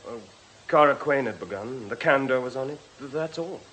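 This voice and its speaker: A man's voice: medium pitch, expressive, moderate pace, a bit bright, natural voice, low-quality recording. An actor as an agitated, defensive young man. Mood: anguished. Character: highly strung young male drug addict, bitter and defensive. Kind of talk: radio drama acting.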